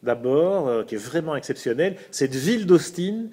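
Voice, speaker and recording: A man's voice: fairly high pitch, expressive, moderate pace, mellow, slightly rough. A man with a Belgian French accent hosting a casual motorsport chat, talking in bursts with many hesitations and restarts. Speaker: host.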